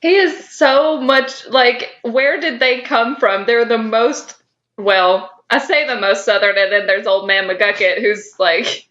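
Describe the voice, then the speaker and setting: A woman's voice: fairly high pitch, slow, fairly bright tone, highs cut off. A woman co-hosting a podcast, chatting casually in a giggly, rambling, informal way with some swearing. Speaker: podcast co-host. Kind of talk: casual fan chat.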